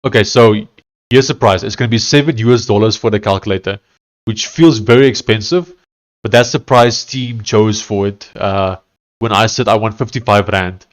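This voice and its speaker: A man's voice: on the low side, normal speed. A man from South Africa with a thick Afrikaans accent, casually chatting with live stream viewers while he codes, thinking aloud with many fillers and restarts. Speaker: live-streaming software developer.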